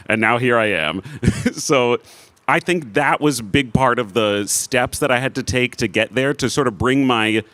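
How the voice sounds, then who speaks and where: Man, lowish voice, dry sound, informal storytelling, self-deprecating humor, interview guest. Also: a bit bright, no room sound. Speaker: opera singer, interview guest.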